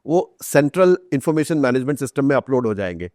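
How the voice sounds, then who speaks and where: A man's voice: mid-range voice, big pitch swings, quick pace, a little mellow, no echo, studio quality. A man with an Indian accent explaining things as a podcast guest, articulate, repeating phrases for emphasis and mixing in English terms. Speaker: author guest. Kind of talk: podcast interview, explanatory talk.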